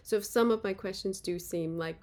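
A woman's voice: medium pitch, a little fast, a little mellow. A woman from Philadelphia who hosts a podcast, talking in a chatty, easygoing way with frequent laughs and fillers. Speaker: podcast host. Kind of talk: casual interview chat.

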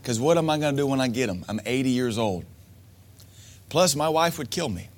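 A man's voice: medium pitch, lively intonation, brisk. A man preaching a sermon to men, emphatic and repetitive, asking rhetorical questions. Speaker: pastor.

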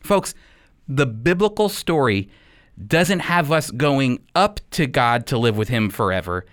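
A man hosting a radio program as a Bible teacher, speaking with deliberate, emphatic phrasing. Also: mid-range voice, lively intonation, a bit bright.

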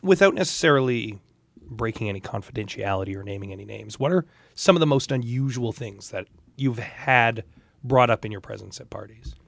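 A man co-hosting a casual interview podcast, speaking in measured phrases with dry humor. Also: medium pitch, lively intonation, vocal fry, no room sound. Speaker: podcast co-host.

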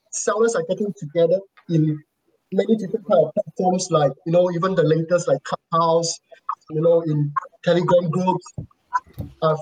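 A man speaking unscripted as an online panelist, explaining things in halting, hesitant phrases. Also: high-pitched, darkish tone. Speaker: panelist on e-commerce. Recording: clearly noisy signal with clicks.

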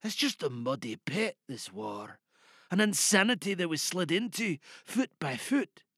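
A man playing a weary, bitter soldier in a trench, confiding in a hushed voice in an audio drama monologue. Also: a little high, very animated, measured pace, slightly rough, dry recording, studio quality, full character voice. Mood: despairing.

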